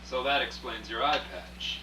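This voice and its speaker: A man plays a skeptical, plain-spoken visitor in a radio play. His mid-pitched voice is very sharp and bright. The recording is roomy, with audible hum. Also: moderate pace, natural voice. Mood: incredulous. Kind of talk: live radio drama acting. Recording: roomy, distant mic, hum and hiss.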